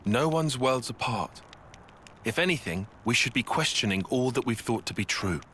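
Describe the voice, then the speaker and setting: A man with a British accent voicing a dry, sceptical, bookish young soldier in a video game, measured and wry. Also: medium pitch, expressive, bright, forceful tone, slight background noise. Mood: wry, reasoning. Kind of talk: scripted video game voice acting.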